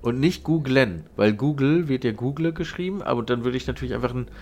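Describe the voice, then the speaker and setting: Male podcast co-host, German accent, mid-pitched, congested nose, loose colloquial storytelling with some swearing. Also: a little fast, a little mellow. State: blocked nose from an oncoming cold. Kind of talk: casual chat and anecdotes.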